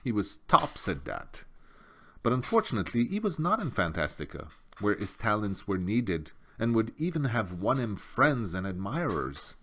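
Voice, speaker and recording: A man's voice: lowish voice, very animated, moderate pace, sharp tone, a little hiss or hum. A man narrating a storybook as an audiobook reader.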